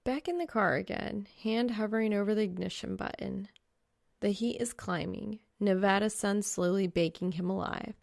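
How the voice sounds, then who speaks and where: A woman reading fan fiction as an audiobook narrator, expressive and wry. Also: medium pitch, moderate pace, creaky, no echo, studio quality.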